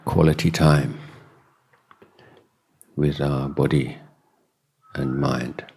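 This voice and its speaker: A man who teaches meditation as a Buddhist monk, speaking in a measured, deliberate way with long pauses in mid-phrase and a husky voice. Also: rumbling low, fairly even intonation, darkish tone. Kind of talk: guided meditation and dhamma talk.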